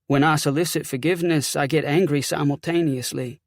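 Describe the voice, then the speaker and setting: A man voicing a guilt-ridden, genteel Southern gentleman in an audiobook, speaking formally and with anguish. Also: fairly high pitch, fairly even intonation, brisk, no room sound, studio quality, close to an everyday voice. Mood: anguished, bitter. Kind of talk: audiobook character acting.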